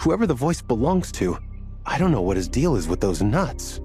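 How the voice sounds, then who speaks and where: A man's voice: medium pitch, sing-song, normal speed, mellow, soft background music, close to an everyday voice. A man voicing an earnest, flustered man who meanders and gets sidetracked while recounting a strange ordeal. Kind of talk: game cutscene dialogue.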